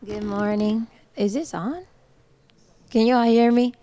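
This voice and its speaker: A woman in her twenties with a soft voice, a little nervous, giving informal remarks as a coach at a media day. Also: fairly high pitch, measured pace. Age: 20s. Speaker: college basketball coach. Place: large indoor hall, at a microphone. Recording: echoey hall sound with long reverb.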